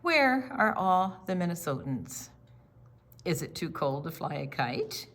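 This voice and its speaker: A woman reading a picture book aloud to young children in an expressive, question-and-answer storytime style. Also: fairly low pitch, measured pace.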